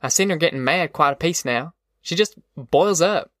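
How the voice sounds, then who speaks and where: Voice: medium pitch, normal speed, a bit bright, no room sound, clean studio sound, lightly acted. A narrator voicing a weary, sensible young farm man with plain rustic speech in an audiobook. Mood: easygoing, practical. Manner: folksy rustic dialect, plain-spoken. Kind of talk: audiobook character voice.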